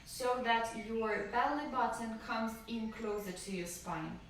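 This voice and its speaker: Slow, calm woman in an echoey room. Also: a little high. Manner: slow, calm, gentle guiding. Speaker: yoga teacher. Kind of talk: live-streamed yoga class instruction. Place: room at home. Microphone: camera from a distance. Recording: echoey room, voice far from the mic, faint noise.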